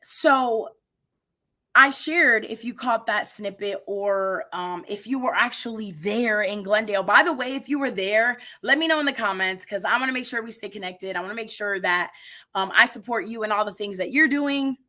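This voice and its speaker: A woman in her thirties hosting an energetic motivational live stream, talking straight to her viewers, her voice a little raspy today. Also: medium pitch, normal speed. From United States. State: slightly raspy voice. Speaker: livestream host.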